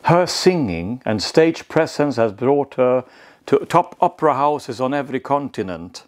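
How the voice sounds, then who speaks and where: A middle-aged man with a Swedish accent, hosting an interview from his living room on a lapel mic and asking questions with some hesitation. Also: medium pitch. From Sweden. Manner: hesitant, repeats words when asking questions. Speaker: host. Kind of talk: video call interview.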